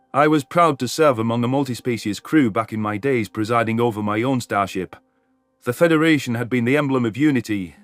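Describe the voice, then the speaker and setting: A man's voice: mid-range voice, no room sound. A man reading scripted first-person science fiction as a story narrator.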